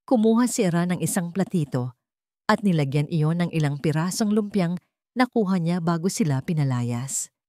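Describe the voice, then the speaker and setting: Low-pitched woman narrating a dramatic story. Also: animated, moderate pace, dark tone, no echo, clean studio sound. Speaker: audiobook narrator.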